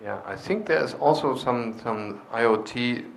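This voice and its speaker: A man's voice: mid-range voice, measured pace, creaky, a little hiss or hum, poor audio. Man moderating a casual discussion. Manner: hesitant, with fillers and restarts. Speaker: session moderator.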